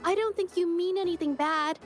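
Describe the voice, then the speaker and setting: A woman's voice: very high voice, fairly quick. A woman playing a shy, apologetic young painter in a video game, with a hesitant, stammering, self-effacing manner. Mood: awkward, apologetic. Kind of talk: video game character dialogue.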